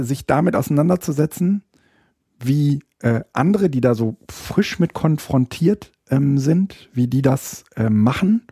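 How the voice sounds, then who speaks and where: Husky, mellow man chatting hesitantly. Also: on the high side, dry recording, clean studio sound. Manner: hesitant, many fillers and restarts; rambling, thinks aloud. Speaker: podcast co-host. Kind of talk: casual chat.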